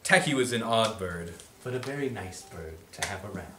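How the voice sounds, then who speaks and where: A young man reading a picture book aloud to friends with a playful, animated delivery. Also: on the low side, normal speed. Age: young adult. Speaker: storyteller. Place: small apartment living room with kitchen. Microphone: camera a few metres away. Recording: echoey room, clear noise, distant camera mic.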